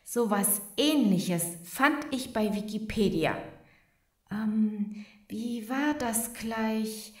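Woman playing a sharp-witted heiress, high, echoing. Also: extremely slow. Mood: curious, musing. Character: sharp-witted, curious heiress, politely suspicious and assertive. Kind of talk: German audio drama acting. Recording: roomy, echoing sound.

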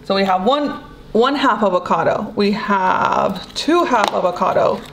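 A woman's voice: medium pitch, expressive, unhurried. A young woman with a Mexican accent, chatting casually as she demonstrates a recipe in her home kitchen and sometimes searching for English words. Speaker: cooking vlogger. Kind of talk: casual cooking demo chat. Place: bright home kitchen, indoors. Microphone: camera mic from a few feet away. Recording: roomy kitchen with clear noise.

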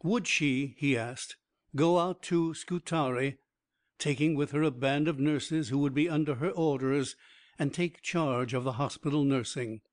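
A man reading a biography aloud as an audiobook narrator, in an even, measured way. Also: fairly high pitch.

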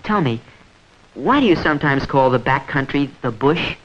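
A man's voice: medium pitch, lively intonation, normal speed, fairly bright tone. A man playing a curious visitor asking simple questions in scripted 1940s educational film dialogue. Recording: dull vintage 1940s film soundtrack.

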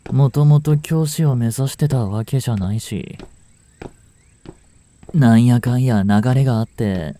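A man playing a gentle, brooding male chemistry teacher in a voice drama, soft-spoken with sighs and murmurs. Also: medium pitch, measured pace, long pauses, close to an everyday voice. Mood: dejected, musing. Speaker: voice actor in a fan voice drama. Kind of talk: Japanese audio drama monologue, intimate acting.

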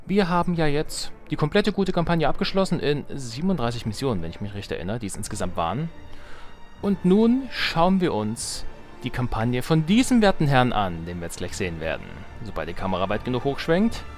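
A man's voice: mid-range voice, very animated, brisk. A young adult man doing casual let's play commentary, chatty and thinking aloud as he goes. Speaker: let's player. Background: game music.

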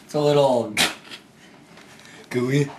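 A man's voice: mid-range voice, fairly even intonation, slow. A young man chatting casually in a home kitchen, picked up by a handheld camera. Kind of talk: casual chat. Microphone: handheld camera's built-in microphone. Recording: echoey room, clattering dishes and noise.

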